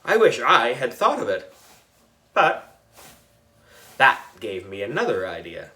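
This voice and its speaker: A man narrating a children's story in an expressive, playful style. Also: medium pitch, slow pace. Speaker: audiobook reader.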